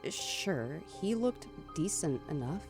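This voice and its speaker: A woman's voice: low-pitched, big pitch swings, slow, music in the background, exaggerated voice. An actress playing an anxious, grieving daughter who narrates her own ordeal earnestly in a horror audio drama. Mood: somber, uneasy. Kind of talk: dramatic first-person scripted narration.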